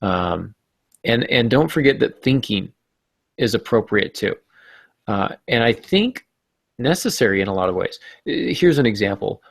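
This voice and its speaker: A man's voice: on the low side, lively intonation, normal speed, gravelly, dry recording, studio quality. A man hosting a podcast, explaining things in a conversational way with some casual banter. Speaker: podcast host.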